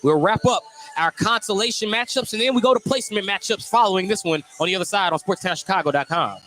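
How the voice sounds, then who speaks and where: A man's voice: sits high, fast, sharp tone, faint music behind. A man doing live radio play-by-play commentary of a basketball game as the announcer.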